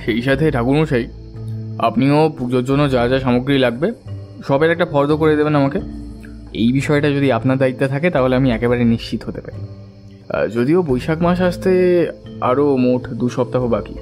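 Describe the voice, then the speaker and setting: A male actor plays an earnest family man narrating a dramatised audio story. His medium-pitched voice is sincere and conversational, with a slight hesitancy. Faint music plays behind him. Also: normal speed, darkish tone, natural voice. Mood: relieved, cheerful. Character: earnest devout family man recounting his troubling dream. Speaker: narrator and character. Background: faint background music.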